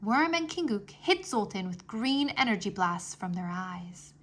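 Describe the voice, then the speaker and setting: A woman reading a children's fantasy chapter book aloud as an expressive storyteller. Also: medium pitch, unhurried. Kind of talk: expressive scripted narration.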